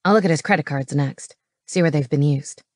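A woman voicing a gruff, brainy man in an audiobook, speaking in a terse, matter-of-fact and intense way. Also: sits low, brisk, dry recording, studio quality, voice pushed down, natural voice. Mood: focused. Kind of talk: audiobook character dialogue.

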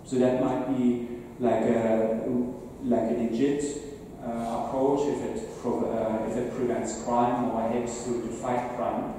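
A man's voice: medium pitch, flat delivery, mellow. Echoey, distant German-accented man presenting. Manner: halting, hesitant phrasing with repeated words. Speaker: conference speaker. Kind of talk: informal presentation on cybersecurity. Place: indoor meetup presentation room with seated audience. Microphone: distant camera at back of room. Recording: roomy echoing hall, distant mic, faint noise.

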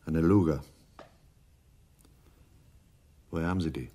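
An actor playing a blunt, skeptical professional criminal in a German radio crime drama, with a wary, direct delivery. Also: male voice, very deep voice, very fast, warm tone, husky. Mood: guarded.

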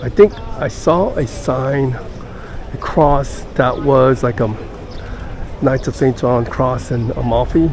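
An older man giving casual walking-tour narration as a travel vlogger, halting and pausing mid-sentence. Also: medium pitch. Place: outdoors in busy city streets and squares. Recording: outdoor city street noise.

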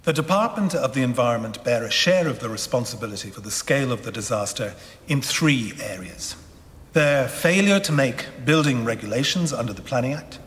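A middle-aged actor playing a solemn tribunal official formally reading out findings in a television drama, measured in manner. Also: male voice, medium pitch, a bit bright, lightly acted. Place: large courtroom-like hall, indoors. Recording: reverberant large room.

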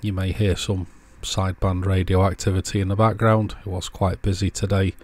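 A man with a fairly low voice narrates a practical tutorial. He is plain-spoken and understated, explaining each step casually as a channel presenter would. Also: flat delivery, moderate pace, darkish tone. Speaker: presenter of an electronics repair channel.

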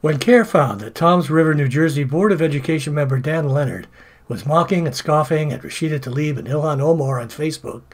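Male narrator for opinionated video essay, slightly high and raspy. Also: fairly quick. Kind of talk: scripted polemical narration.

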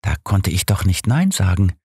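A man's voice: low-pitched, big pitch swings, moderate pace, gravelly, darkish tone, slightly rough, no echo, clean studio sound, pitched below the performer's own voice, exaggerated voice. A man voicing a frightened, hurt young girl in an audiobook, with plain, hesitant childlike wording. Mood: uneasy, confiding. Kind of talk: audiobook character reading.

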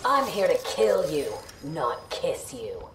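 A woman's voice: sits low, big pitch swings, slow pace, vocal fry, full character voice. An actress playing a cold, menacing female assassin in a video game, curt and threatening. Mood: cold menace. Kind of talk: video game character dialogue. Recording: echoey, distant, loud noise behind.

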